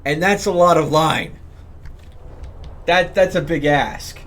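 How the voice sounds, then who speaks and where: A man's voice: on the high side, slow, fairly bright tone. A man talking casually as he streams a game, commenting on his play and explaining things step by step in a rambling way. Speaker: live streamer. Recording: clear background noise.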